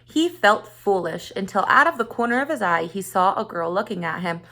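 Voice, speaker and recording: A woman reads a story aloud in the manner of a schoolteacher. Her voice sits at an everyday middle pitch, and she gives key words expressive emphasis as she narrates for young listeners. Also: normal speed. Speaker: teacher reading a story aloud. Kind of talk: read-aloud narration of a children's short story.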